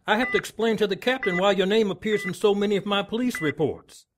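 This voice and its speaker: An actor playing a stern, exasperated police lieutenant questioning people in a noir audio drama. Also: male voice, high voice, fast, background noise, close to an everyday voice.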